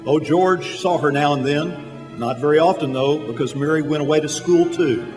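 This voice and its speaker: A man's voice: fairly high pitch, moderate pace, darkish tone, lightly acted. Man as patient storyteller over music, echoey. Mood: patient, explaining. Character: patient heavenly narrator telling a life story. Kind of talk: scripted radio drama narration. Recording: hall reverb, music behind.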